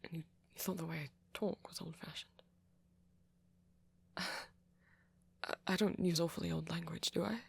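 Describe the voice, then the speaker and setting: A man playing a shy, apologetic young vampire in an ASMR roleplay, with a posh British accent and a hesitant, stammering, soft-spoken way of talking. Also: fairly high pitch, steady tone, normal speed, creaky, pauses often, breathy edge, full character voice. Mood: bashful, awkward. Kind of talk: intimate ASMR roleplay audio.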